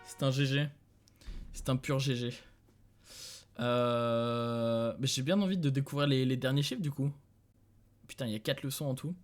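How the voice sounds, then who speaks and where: A man's voice: medium pitch, steady tone, normal speed, long pauses, soft background music. A young man from France streaming from a dim room at home, thinking aloud in casual commentary, chuckling and talking to his chat.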